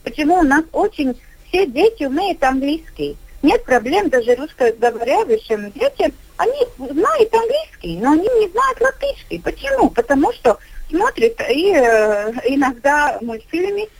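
A middle-aged woman with a somewhat high voice speaks as a kindergarten director in a radio studio. She pauses often and fills the gaps with hesitation sounds as she talks her points through. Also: sing-song, low-quality recording. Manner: halting, many filler sounds. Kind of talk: radio discussion.

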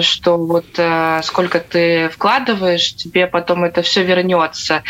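A woman's voice: fairly low pitch, fairly bright tone, low-quality recording. A woman speaking as an interview guest in casual, reflective talk. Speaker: interview guest, theatre accordionist.

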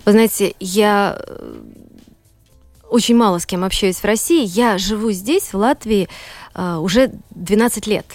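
A woman's voice: mid-range voice, a little slow, a little mellow, dry recording, faint music behind. A middle-aged woman from Saint Petersburg, a teacher and economist, talking as a guest in an interview. She tells her story earnestly and with emphasis, with frequent hesitations. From Saint Petersburg, Russia. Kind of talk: studio interview conversation.

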